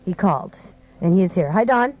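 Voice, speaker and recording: A woman's voice: on the low side, lively intonation, a little fast, darkish tone. A woman hosting a live radio show from a studio, chatting casually with callers. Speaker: radio host.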